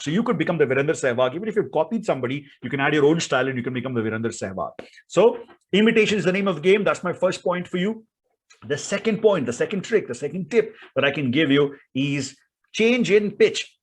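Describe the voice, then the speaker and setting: A man's voice: fairly high pitch, expressive, fast, slightly rough. A man with an Indian accent giving an instructional talk as a speaking coach, in an emphatic, theatrical way full of rhetorical questions.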